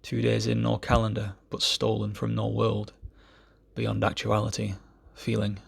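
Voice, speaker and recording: A man giving an amateur poetry reading in a solemn style, with measured, deliberate phrasing. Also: on the low side, monotone, gravelly, slightly rough.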